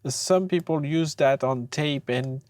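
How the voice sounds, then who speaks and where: An audio tutor gives an informal demonstration of software at a slow pace. The delivery is halting and hesitant, with frequent pauses, and the sound is very dry. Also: mid-range voice, no echo.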